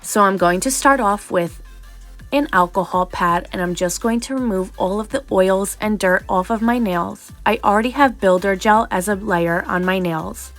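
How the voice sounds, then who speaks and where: A woman's voice: medium pitch, moderate pace, faint music behind. A young adult woman narrating a nail tutorial voice-over in a chatty, explanatory way, sounding slightly nasal from a cold.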